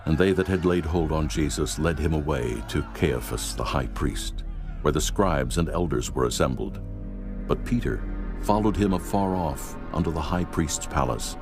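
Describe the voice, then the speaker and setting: A man narrating scripture in a steady, measured storytelling manner, with music behind. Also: extremely low pitch.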